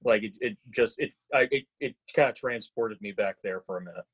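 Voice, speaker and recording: A man reflecting casually in a group discussion, haltingly and with repeated words. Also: fairly low pitch, slightly rough.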